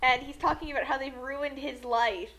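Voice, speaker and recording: A woman co-host giving casual, excitable comic commentary with a mocking, giggly manner. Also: high voice, normal speed, a little hiss or hum.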